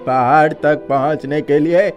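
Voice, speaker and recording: Man playing a kindly old hermit, higher-pitched voice. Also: fairly even intonation, a little slow, faint music behind, natural voice. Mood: calm advising. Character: kindly old mountain hermit, advising a young prince. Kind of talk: cartoon fairy-tale voice acting.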